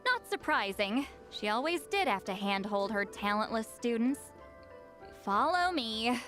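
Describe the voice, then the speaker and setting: A woman's voice: on the high side, very animated, a little slow, over music. A woman playing a wry, haughty fantasy game heroine with a dry, disdainful manner. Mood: wry, amused. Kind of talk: video game voice acting.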